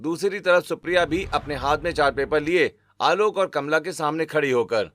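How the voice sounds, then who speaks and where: A man's voice: fairly high pitch, moderate pace, a bit bright. A man giving scripted narration for a cartoon family story.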